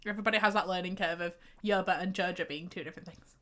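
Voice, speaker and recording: A woman's voice: on the low side, steady tone, very fast, sharp tone. A young woman with a light Yorkshire accent, chatting and sighing playfully while streaming a game. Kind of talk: casual gameplay commentary.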